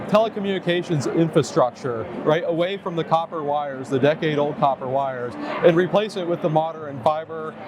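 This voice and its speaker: Man, explainer ending points with "right?", slightly high voice, noisy site. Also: normal speed, few pauses. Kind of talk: product demonstration.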